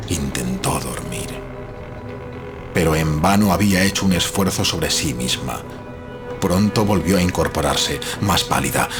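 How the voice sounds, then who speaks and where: A man narrating a horror story in a solemn, suspenseful manner with dramatic pauses. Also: rumbling low. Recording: prominent music behind the voice.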